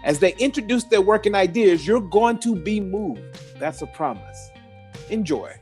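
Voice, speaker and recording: A man's voice: sits high, sing-song, normal speed, darkish tone. A man reading a scripted introduction to a talk series in a promotional tone. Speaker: series host. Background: guitar music.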